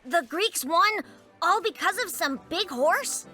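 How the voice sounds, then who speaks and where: A woman voicing a cheeky, eager and impatient young boy in an animated children's series, with flippant, boastful delivery. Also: extremely high pitch, slow, exaggerated voice. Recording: music behind the voice.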